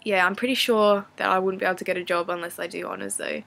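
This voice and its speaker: A woman's voice: medium pitch, fairly even intonation, fast, gravelly, a bit bright. A young adult woman with an Australian accent, chatting casually and unscripted as a vlogger, in a rambling, self-deprecating, apologetic way. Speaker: vlogger answering viewer questions. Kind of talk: casual unscripted chat to camera.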